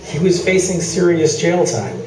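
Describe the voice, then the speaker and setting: A man's voice: a little high, big pitch swings, unhurried, a crowd in the background. An actor playing a skeptical, needling male sports commentator, wordy and sarcastic in a live comic radio play. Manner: dry sarcasm, mock-formal wordiness. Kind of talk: staged talk-show debate, comic radio play. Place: live theatre, mic at a distance. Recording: echoey, distant stage recording with music.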